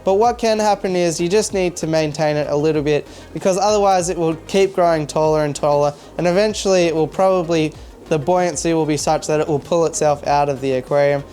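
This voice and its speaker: A man's voice: a little high, fairly quick. Chatty Australian man with easygoing delivery. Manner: relaxed, chatty, with frequent "um" and "uh". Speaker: aquarium hobby youtuber. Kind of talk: casual talk to camera. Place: home fish room.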